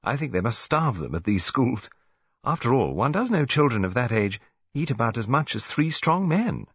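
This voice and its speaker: A man's voice: medium pitch, very animated, normal speed, breathy edge, no echo, muffled recording, pitched above the performer's own voice. A man voicing a genteel, practical English lady near seventy, who chatters politely in an audiobook story. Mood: chatty, confiding. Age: older. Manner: chatty, rambling, polite tag questions.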